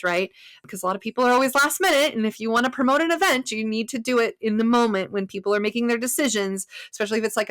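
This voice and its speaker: Lively woman podcast host. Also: fairly high pitch, no echo. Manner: lively explainer, asks "right?". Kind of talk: podcast teaching and interviewing.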